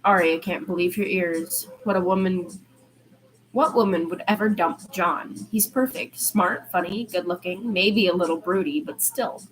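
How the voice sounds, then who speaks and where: A woman's voice: lowish voice, normal speed, a little hiss or hum, poor audio. A woman reading fiction aloud as an amateur narrator, sometimes stumbling and rereading phrases.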